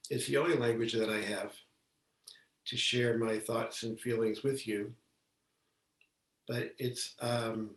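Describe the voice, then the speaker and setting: Slow, halting man in an echoey room. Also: medium pitch. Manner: halting, deliberate delivery with long pauses. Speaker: Zen Buddhist teacher giving a talk. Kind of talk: measured dharma talk. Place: indoor room, talk given over video call. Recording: roomy, echoey, distant microphone on video call.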